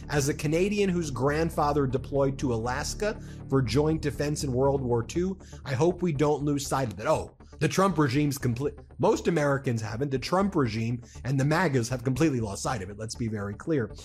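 A man's voice: medium pitch, lively intonation, normal speed, darkish tone, faint music behind. A man commenting on the news and reading out posts, hesitant with fillers and restarts.

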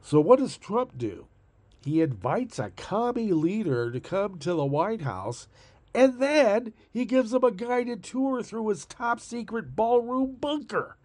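A man's voice: high voice, sing-song, unhurried, a little mellow, dry recording. A man hosting his own show in a sarcastic, rambling way, with a stuffed-up nose. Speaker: podcast host. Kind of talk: casual monologue.

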